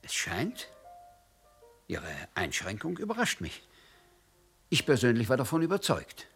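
An actor playing an embassy attaché who answers a police inspector with formal, guarded courtesy in a radio drama. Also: male voice, medium pitch, wildly swooping pitch, measured pace, creaky, a bit bright, faint music behind, exaggerated voice. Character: polite, guarded male diplomat.

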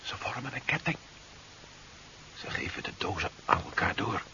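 Bold adventurer, very animated delivery. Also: male voice, fairly low pitch, moderate pace. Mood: hushed, tense. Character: bold, determined male adventurer in a sci-fi serial. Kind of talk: 1970s Dutch radio drama acting. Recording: dull, noisy 1970s radio play recording.

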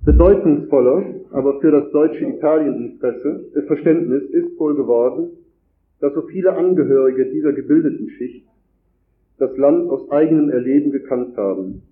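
A man with a cold gives a formal academic lecture at a conference, reading long, measured sentences from a script. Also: fairly high pitch, a little hiss or hum. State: has a cold. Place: conference hall. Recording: echoey hall over a tinny, phone-like line.

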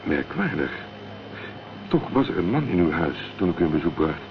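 A man's voice: low-pitched, very animated, normal speed, a crowd in the background. A man playing a polite, probing private detective in a Dutch radio drama. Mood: smooth, probing. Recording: dull, noisy old radio recording.

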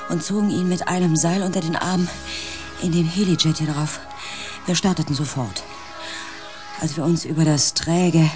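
A male actor playing an anxious member of a space expedition in a radio play, telling the story in a suspenseful, hushed manner. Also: fairly high pitch, natural voice. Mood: tense, grave. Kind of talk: radio play acting with first-person narration.

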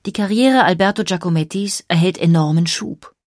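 A woman narrates a scripted documentary in a fairly low voice. She sounds measured and polished, recorded very dry with no room sound. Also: darkish tone, no room sound.

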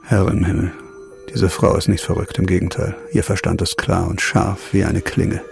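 A male actor playing a brooding, compassionate investigator's inner thoughts in a radio drama, in a hushed and grave manner. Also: low-pitched, level tone, moderate pace, gravelly, soft background music. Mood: moved, grave. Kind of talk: intimate radio drama monologue.

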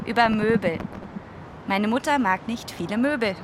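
Proud, candid teen girl played by a woman, radio drama. Youthful, direct, slightly high; clear rumble in background. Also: expressive, normal speed, natural voice. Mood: candid, guarded. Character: candid, playful teenage girl, proud and guarded.

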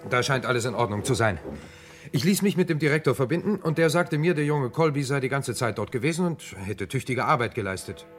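An actor playing a keen, suspicious police assistant in a German radio crime play, eager and deductive. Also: male voice, medium pitch, animated, brisk, soft background music, close to an everyday voice.

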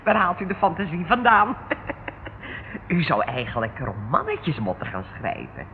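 An actor playing a sly, wheedling crook under police questioning in a radio play, with an oily, familiar manner. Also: male voice, on the high side, animated, measured pace. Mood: wheedling, teasing. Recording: muffled, noisy old radio recording.

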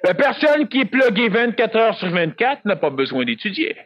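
A man's voice: high-pitched, very animated, moderate pace, dry recording. A man with a Québécois accent giving a public lecture, talking emphatically, repeating himself and firing rhetorical questions at the audience. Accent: Québécois French. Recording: dull lo-fi dry recording.

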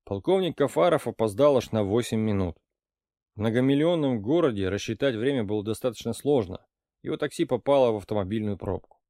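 A man reading an audiobook as narrator, with even, steady storytelling. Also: medium pitch, fairly quick, no echo, studio quality. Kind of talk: scripted narration.

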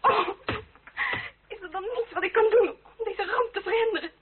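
Young aristocratic woman, high pitch, dignified and anxious, radio drama, narrow tinny recording. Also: moderate pace. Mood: anxious pleading. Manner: formal, earnest, theatrical. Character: proud, anxious young noblewoman pleading with dignity. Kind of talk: period radio drama acting. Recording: tinny, band-limited old radio recording with hiss.

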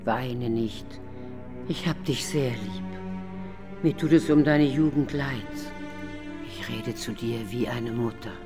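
A woman's voice: a bass voice, music in the background. An actress playing the Night, a secretive, motherly guardian spirit who speaks solemnly and pleads in warning in a radio play. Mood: sorrowful, consoling. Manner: slow, solemn, pleading and admonishing. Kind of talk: German radio play acting.

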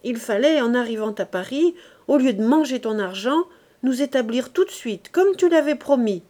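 A woman's voice: fairly high pitch, takes it slowly, mellow. A woman voicing a young, distressed, worn-out mother who pleads and argues with rising emotion. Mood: indignant.

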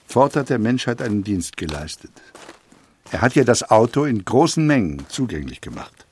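An actor playing an earnest, reflective male industrialist in an audio documentary, speaking with deliberate, old-fashioned formality. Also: lowish voice, big pitch swings, darkish tone, slightly rough. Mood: measured, appreciative. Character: reflective male industrialist recalling his era, earnest, measured. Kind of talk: acted quotation in an audio documentary.

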